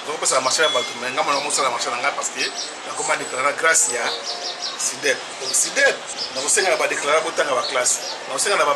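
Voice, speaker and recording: Fifties Congolese man, halting testimonial, distant mic outdoors, heavy background noise. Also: fairly high pitch. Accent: Congolese French. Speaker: former student. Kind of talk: testimonial, mixing French and Lingala. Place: outdoor covered veranda.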